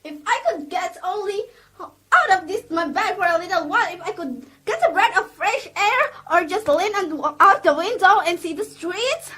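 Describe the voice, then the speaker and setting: An actress playing an anxious, demanding bedridden wife in a radio drama, querulous and agitated. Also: female voice, very high-pitched, expressive, normal speed, pushed and bright, full character voice. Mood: impatient.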